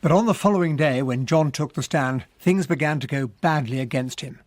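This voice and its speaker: An actor playing an urbane English gentleman who narrates a radio drama in an expressive storytelling manner. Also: fairly high pitch, normal speed, dry recording, natural voice. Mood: grave. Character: English gentleman narrator recounting a murder trial.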